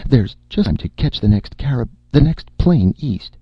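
A man voicing an anxious woman agent in a story, hastily and pleadingly smoothing things over. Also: medium pitch, wildly swooping pitch, measured pace, dry recording, muffled recording, voice pushed up, exaggerated voice. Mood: desperate. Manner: hasty, pleading.

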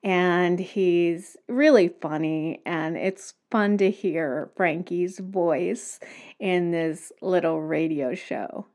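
A woman hosts her own podcast from a cottage in the woods. Her voice sits a little low, and she talks at an unhurried, easy pace. She chats conversationally, as if to a friend. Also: darkish tone. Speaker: podcast host. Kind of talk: solo podcast talk.

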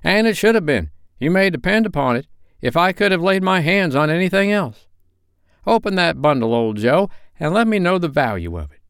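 Man doing a high-pitched bold charwoman, brash and scornful, lively rising and falling voice, clean sound. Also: normal speed, clear, resonant tone, no echo, studio quality. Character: bold, defiant Victorian charwoman.

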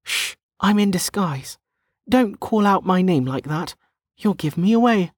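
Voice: medium pitch, animated, a little slow, slightly rough, no room sound, clean studio sound, voice pushed up. A narrator voicing a flustered, indignant young woman who protests bluntly, in an audiobook. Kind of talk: audiobook character dialogue.

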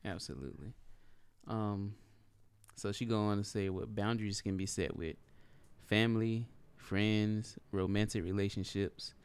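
A man co-hosting a casual podcast chat, easygoing and quick to agree, with plenty of fillers. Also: lowish voice, clear, resonant tone, dry recording. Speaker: podcast co-host.

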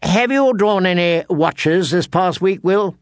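Haughty young knight for fantasy storybook, read by a man: lightly raised pitch, bored, condescending, dry sound. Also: takes it slowly, dry recording. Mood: probing. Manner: condescending, casual.

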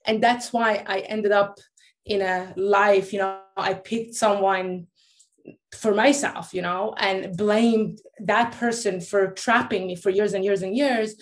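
A woman's voice: medium pitch, steady tone, takes it slowly. A woman in her late thirties, originally from Iran, telling her personal story as a guest with many restarts and fillers. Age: late 30s. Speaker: guest, life coach.

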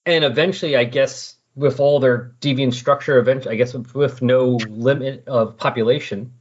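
Husky man in casual podcast chat. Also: medium pitch, steady tone, moderate pace. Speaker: podcast co-host discussing comics. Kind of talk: casual, rambling comics discussion. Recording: dull lo-fi voice recording.

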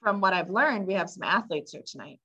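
Mid-thirties woman co-host, bright and crisp, easygoing and chatty. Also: fairly low pitch, expressive, a little fast. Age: 30s. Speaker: podcast co-host. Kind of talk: casual chat.

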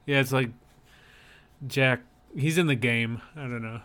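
A man's voice: mid-range voice, measured pace, a bit bright, smooth. A man co-hosting a podcast, talking in an easygoing, conversational way as he chats about films. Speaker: podcast co-host. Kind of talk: casual chat about films.

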